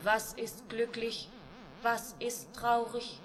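A woman's voice: fairly high pitch, expressive, takes it slowly, fairly bright tone. An actress playing an emotionless artificial young woman in a German radio drama, asking literal questions and making detached statements. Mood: detached curiosity.

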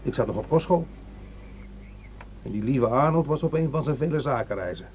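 An actor playing a bitter, defiant young son in a Dutch radio play, scornful and curt. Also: male voice, mid-range voice, expressive, a little fast, darkish tone, natural voice. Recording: dull, faintly noisy old radio recording.

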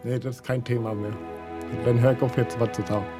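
A man's voice: medium pitch, flat delivery, fairly quick. A man being interviewed, answering literally in simple, unscripted phrases. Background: music.

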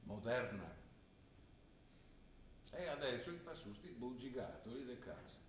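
A gruff, grumbling old father with a thick Trentino dialect, blunt and fussy as he visits his grown daughter. His voice carries through a roomy stage space from some way off the mic. A faint hiss sits under the whole recording. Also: medium pitch, very animated, measured pace. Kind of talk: stage play performance. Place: theatre stage. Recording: echoey stage sound from a distance with faint noise.

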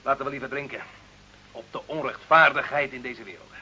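A hot-headed, grumbling village bricklayer in a radio drama. His voice is forceful and mid-pitched, full of sarcasm and stubborn complaint. The old recording is dull, with some background noise. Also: a little fast. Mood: sullen, brooding. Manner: blustering, sarcastic, argumentative. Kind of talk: radio play acting.

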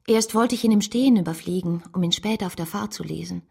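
An actress playing an earnest, lovestruck woman who narrates her own life in a measured, literary radio drama style. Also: mid-range voice, vocal fry, a little mellow, breathy edge. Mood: resolved, absorbed.

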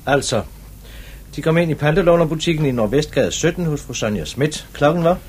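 An actor playing a brisk, probing police commissioner in a 1950s radio drama, with clipped, authoritative questioning. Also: male voice, on the high side, a little mellow, slightly rough.

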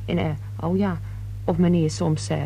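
A woman's voice: on the low side, little pitch movement, moderate pace, gravelly, mellow, breathy edge, low-quality recording. An actress playing a gabby, indignant young working-class cleaning girl in a radio play, talking colloquially.

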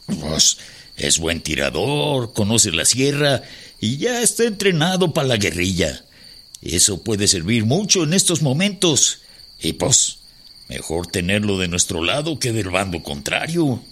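A pragmatic Mexican revolutionary in a radio play, coaxing his comrade. His lowish voice moves with animated intonation over faint ambient noise. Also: measured pace, heavily acted. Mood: persuasive. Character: persuasive, pragmatic male revolutionary conspirator. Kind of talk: Spanish-language radio drama dialogue.

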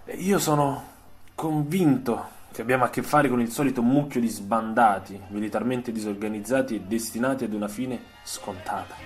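A man's voice: mid-range voice, moderate pace, close to an everyday voice. A man playing a seasoned older army sergeant in a radio story, speaking in a familiar, insistent tone. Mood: convinced, dismissive.

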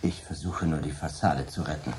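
A man's voice: very deep voice, moderate pace, a little hiss or hum. An actor playing a cynical, ironic man in a radio drama. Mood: evasive. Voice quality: ironic, lively delivery. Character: cynical, brandy-drinking man named Dan. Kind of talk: radio play acting.

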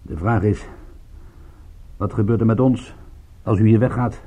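An actor playing a wry, wary private detective in a radio drama, speaking in a dry, measured way. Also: male voice, lowish voice, fairly even intonation, warm tone, slight background noise, lightly acted. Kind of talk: Dutch radio drama acting.

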